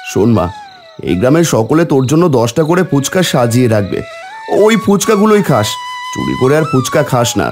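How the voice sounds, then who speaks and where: A man acting as a dramatic, expressive storyteller and village father in a horror cartoon. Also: medium pitch, brisk, natural voice. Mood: pleading, scolding. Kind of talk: dramatic animated story narration and dialogue. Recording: music behind the voice.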